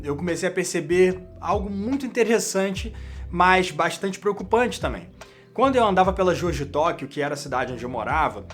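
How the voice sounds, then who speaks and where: A man's voice: high voice, fairly bright tone. A man with a Brazilian Portuguese accent, hosting a career channel and explaining to camera, speaking straight to the viewer. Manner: direct, addresses the viewer as "you".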